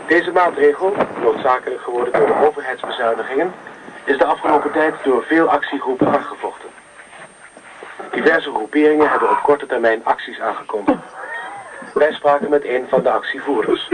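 An actor playing a formal radio newsreader delivering a bulletin in an even manner. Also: male voice, mid-range voice, a little fast, noisy background, lightly acted. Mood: neutral. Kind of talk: radio news reading.

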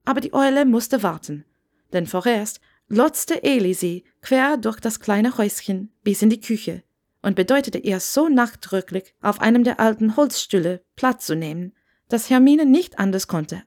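A woman's voice: medium pitch, lively intonation, a little slow, a little mellow. A woman reading scripted fiction aloud as an audiobook narrator.

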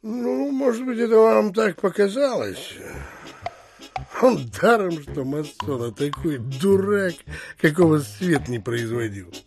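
A burly country landowner in a radio play, pitched high and swinging widely in tone. He is gruff in manner, categorical and full of contempt for everyone. Faint music sits behind him. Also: expressive, slow, darkish tone, exaggerated voice. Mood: scornful. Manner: blunt, scornful, categorical. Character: gruff, blunt, misanthropic burly landowner, a sturdy host. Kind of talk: Russian literary audio drama acting.

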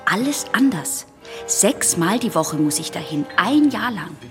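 A woman's voice: medium pitch, very animated, measured pace, music in the background. An actress playing an anxious teenage girl patient in a German radio play, hesitant, secretive and intense. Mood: confiding.

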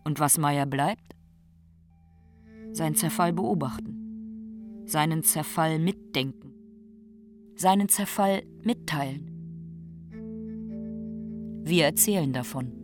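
An actor playing a detached narrator in a radio drama, speaking in terse, clinical, emphatic statements. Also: male voice, a little high, slow pace, long pauses, over music. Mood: clinical, insistent. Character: detached clinical male narrator reporting a man's decline.

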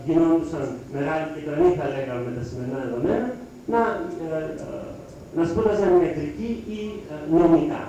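A man giving a public lecture over slides, speaking haltingly in short phrases with pauses. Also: fairly high pitch, mellow, dull audio. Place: lecture hall with audience. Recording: echoey hall, distant mic, faint noise.

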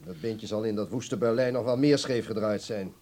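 A man's voice: lowish voice, normal speed, darkish tone, lightly acted. An actor playing a stuffy, middle-aged bourgeois uncle in a radio drama, pompous and blustering in his disapproval. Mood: disapproving. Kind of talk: Dutch radio drama acting.

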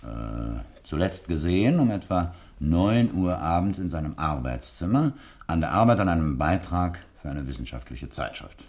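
An obliging embassy official in a radio mystery. His voice is extremely deep and gravelly, with lively rises and falls as he briefs people in a courteous, measured way. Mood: methodical, official. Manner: courteous, measured, reads out files methodically. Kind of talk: German radio drama acting.

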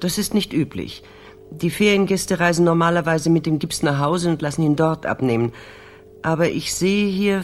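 An actress in a German radio crime drama playing a mature doctor who speaks in a formal, careful, clinical manner. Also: female voice, deep voice, slight background noise. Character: older female doctor, formal, careful, reserved.

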